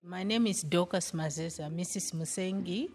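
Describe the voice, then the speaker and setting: Low-voiced Zimbabwean mother, earnest. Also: normal speed, a little mellow, slight background noise. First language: Shona. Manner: earnest, repeats key points. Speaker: mother in a migrant community group. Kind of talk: interview answer.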